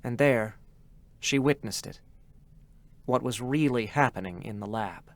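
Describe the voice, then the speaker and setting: A man's voice: medium pitch, measured pace, dry recording. A man reading scripted story narration in a dramatic style, as the narrator.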